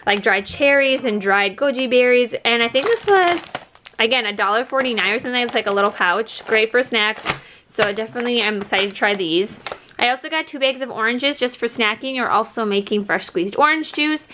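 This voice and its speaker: A woman's voice: a little high, sharp tone, a little hiss or hum. A woman, talking casually in a rambling, conversational way as a vlogger. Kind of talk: casual chatty haul video talk. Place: indoors at home by a wooden table.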